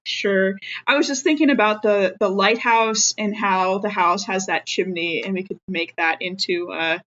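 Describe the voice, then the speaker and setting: A woman chatting casually as a player in a tabletop game, thinking aloud in a halting way. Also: mid-range voice, a bit bright, lo-fi sound.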